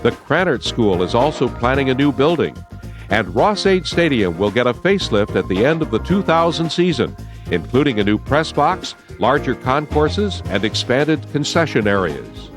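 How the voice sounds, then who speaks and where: Man, American accent, newsreel narrator, animated intonation, middle pitch, scripted delivery. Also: moderate pace, music in the background.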